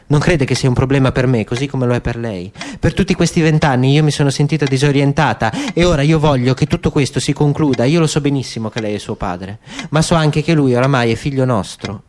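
A man's voice: mid-range voice, fairly quick, few pauses, darkish tone, close to an everyday voice. A man voicing an earnest, resolute, troubled elderly woman in a radio play, in a noisy recording. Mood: grave, measured.

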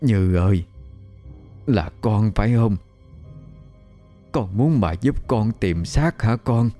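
A man voicing a blind old grandmother who speaks softly in a halting, choked way. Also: lowish voice, expressive, faint music behind. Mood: shaken tender. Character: blind old grandmother, shaken but tender.

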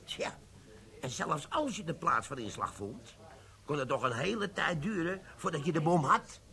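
An actor playing an older army sergeant who defuses bombs, in a radio play, telling war stories and giving brisk orders. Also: male voice, fairly high pitch, sing-song, vocal fry, bright, forceful tone, full character voice. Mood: storytelling. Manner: storytelling, then brisk and commanding. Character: gruff older male army bomb sergeant.

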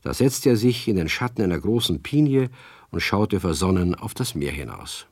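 A man narrating a children's radio play in a measured storytelling manner. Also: sits low, very animated, darkish tone, slightly rough, no room sound, studio quality.

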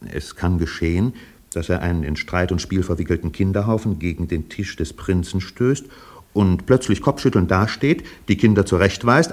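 A man narrating a literary radio play in a measured, precise, faintly ironic manner. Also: low-pitched, darkish tone.

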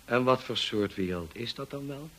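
An actor playing a brusque, teasing, moody gentleman in a Dutch radio drama, speaking in a dry, commanding way. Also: medium pitch, moderate pace, husky. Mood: brusque, insistent. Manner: dry, commanding, clipped questioning.

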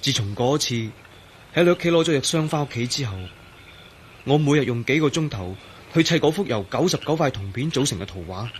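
Actor as a highly expressive, troubled Cantonese man. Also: a little fast, a little hiss or hum, natural voice. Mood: troubled recounting. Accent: Hong Kong Cantonese. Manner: sighing, hesitant, excitable and dramatic. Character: troubled man named Ah-Yin, turned invisible, recounting his ordeal. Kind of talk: radio drama acting.

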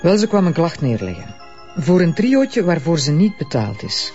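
An actor playing a teasing, inquisitive partner in a radio play. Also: male voice, on the high side, very animated, moderate pace, darkish tone. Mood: telling. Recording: dull lo-fi tape with music.

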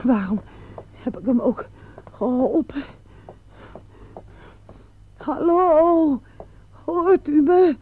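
An actress in a radio play, playing a frail, timid and anxious elderly woman who stammers, pleads and repeats her words in a husky, breathy voice. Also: high voice, slight background noise. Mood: calling anxiously. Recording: muffled, narrow-band old radio play.

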